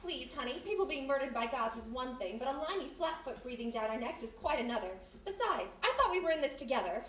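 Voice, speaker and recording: Woman playing a brassy, fast-talking vaudeville dame, high-voiced. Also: expressive, a bit bright. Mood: urgent, suspicious. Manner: sassy, slangy, snappy delivery. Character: brassy, wisecracking young female former vaudevillian sidekick. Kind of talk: 1940s radio mystery acting, live on stage. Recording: echoey live stage, distant, faint noise.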